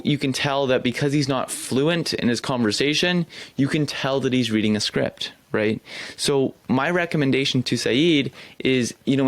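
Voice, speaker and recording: A man's voice: medium pitch, dry recording. A man commenting on a recorded sales call as a coach, critiquing bluntly and praising with enthusiasm. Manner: direct, critiquing, animated praise. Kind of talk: commentary over a video.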